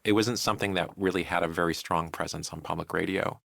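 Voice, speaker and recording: A man's voice: deep voice, steady tone, fast talker, vocal fry, fairly bright tone. A man talking reflectively in an interview as an audio drama producer.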